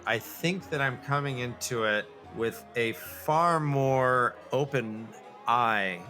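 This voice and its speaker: A man co-hosting a podcast, chatting casually and jokily in a rambling, halting way. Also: medium pitch, bright, forceful tone. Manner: jokey, rambling, halting delivery. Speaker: podcast co-host. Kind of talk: casual comedic banter and book chat.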